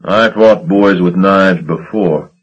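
A man voicing a brutish, menacing river thug who taunts slowly. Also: deep voice, slightly rough, full character voice. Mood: taunting menace. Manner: slow, taunting, threatening. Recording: dull lo-fi recording.

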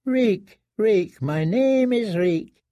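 A man's voice: high voice, slow pace, no echo, muffled recording, pitched above the performer's own voice. A man voicing a broken, terrified young male captive who whimpers and pleads. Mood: whimpering.

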